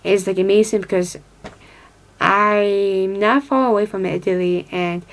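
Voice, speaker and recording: A woman's voice: mid-range voice, fairly even intonation, measured pace. A woman in her twenties from upstate New York with an American accent, casually talking to camera, rambling with lots of fillers and restarts while glancing at notes. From upstate New York, United States. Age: 20s. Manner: reads from notes, looking down. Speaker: vlogger.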